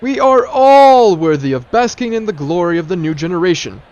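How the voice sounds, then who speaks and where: A man's voice: sits high, expressive, normal speed, a little mellow, dull audio. A man playing a cheerful, eccentric village leader in a radio drama, with a grand, sunny, theatrical manner. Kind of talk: fan radio drama acting.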